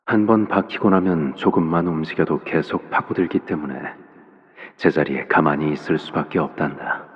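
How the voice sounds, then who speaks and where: An actor playing a calm, menacing middle-aged assassin father in a video game, speaking gently and without hurry to his daughter. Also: low voice, breathy edge, in a large hall, highs cut off. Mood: calm, instructive. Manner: gentle, unhurried, eerily calm, paternal. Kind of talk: video game character voice lines.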